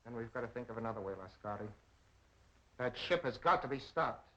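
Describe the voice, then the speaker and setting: Spy ringleader male actor, 1930s film: commanding, terse, quick delivery, muffled and slightly noisy soundtrack. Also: medium pitch, lively intonation, close to an everyday voice. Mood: stern, urgent. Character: stern, urgent spy boss giving orders.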